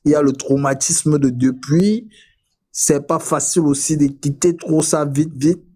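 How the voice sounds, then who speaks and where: A man from Cameroon with a dark, mellow voice talks through an online debate stream. He restarts his sentences, repeating words before he gets going. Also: fairly high pitch, a little slow, husky. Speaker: live debate participant. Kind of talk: casual online debate chat.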